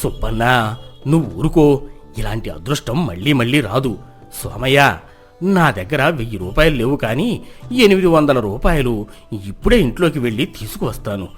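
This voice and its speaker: A man performs a greedy, gullible villager of fifty in a storybook tale. His voice sits low and pleads eagerly. Music plays behind him. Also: sing-song, normal speed, breathy edge. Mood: pleading.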